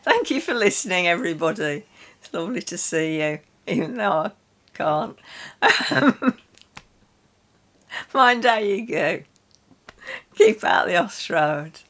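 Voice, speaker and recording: A British woman hosts an informal chat about poetry. Her voice sits a little low and she takes her time over her words. She is wry and opinionated as she talks. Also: expressive, slow pace, long pauses, a bit bright. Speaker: host of a poetry reading and book chat. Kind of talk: casual literary chat.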